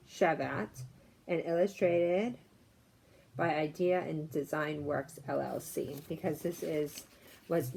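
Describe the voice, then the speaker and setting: A woman reading a story to children, pausing for casual explanatory asides and questions to her listeners. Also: deep voice, vocal fry, darkish tone, slightly rough.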